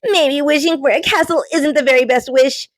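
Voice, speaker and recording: A woman's voice: high-pitched, moderate pace, a little hiss or hum, pitched above the performer's own voice, close to an everyday voice. Woman doing a bubbly little girl. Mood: earnest. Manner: eager, bubbly. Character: excitable young girl who loves pink.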